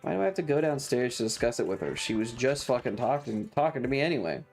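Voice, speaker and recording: A woman livestreamer chatting casually over a video game in a blunt, sweary, deadpan manner, with game music behind her. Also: rumbling low, fast talker. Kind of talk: casual game streaming chat.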